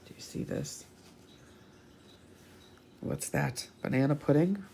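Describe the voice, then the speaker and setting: Woman, storytime host, low voice, unhurried, dramatic picture-book reading, teacherly. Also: creaky, background noise. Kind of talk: expressive picture-book read-aloud with asides to children.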